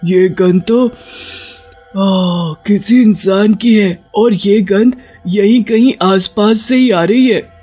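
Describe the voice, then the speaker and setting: A gloating, hungry witch from a horror cartoon, voiced by a man. The voice is high and slow, with music behind it and slightly dull sound. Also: darkish tone, breathy edge, full character voice. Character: gloating, hungry witch, female, hunting humans. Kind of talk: cartoon horror story dialogue.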